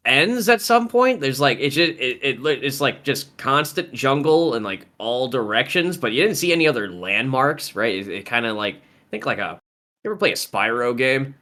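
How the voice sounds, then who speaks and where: Guy GM, kinda bright edgy tone, rambles a lot while describing stuff. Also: medium pitch, animated, moderate pace. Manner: rambling, full of "uh" and "like". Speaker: game master. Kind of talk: narrating a tabletop game over voice chat.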